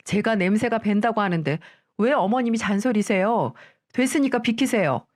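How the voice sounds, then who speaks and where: A woman's voice: medium pitch, lively intonation, moderate pace, natural voice. A woman playing a scornful daughter-in-law. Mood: cold. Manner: curt, sharp, contemptuous. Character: cold, scornful woman in her middle years, the daughter-in-law.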